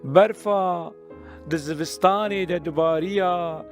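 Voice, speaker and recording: A middle-aged man from Syria, a Kurdish and Arabic native speaker, talking as a poet at a literary festival with many drawn-out "uh" pauses and then reciting poetry. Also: sits high, soft background music. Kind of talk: hesitant prepared talk, then poetry recital.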